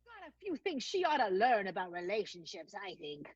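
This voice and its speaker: A woman's voice: medium pitch, sing-song, a little fast, slightly rough, no echo. A woman playing a plucky, gutsy young vaudeville performer with perky, emphatic old-time talk in an improvised radio play, on a dull, dry recording. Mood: determined.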